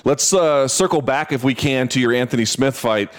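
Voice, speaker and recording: A man hosting a podcast interview, conversational and quick to exclaim in amazement. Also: mid-range voice. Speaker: podcast host.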